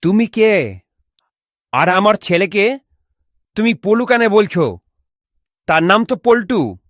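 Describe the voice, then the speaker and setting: A performer voicing a worried, soft-hearted village father in a cartoon, with a puzzled way of talking. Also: mid-range voice, sing-song, slow, no echo, clean studio sound. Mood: alarmed. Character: worried, fond village father, adult man. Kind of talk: Bengali cartoon voice acting.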